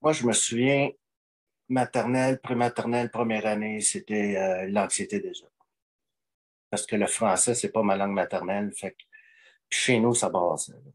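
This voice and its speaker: A man's voice: on the low side, fairly even intonation, normal speed, breathy edge. A man, calling in and talking in hesitant, rambling stretches full of fillers. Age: late 40s. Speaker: caller. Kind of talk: call-in conversation.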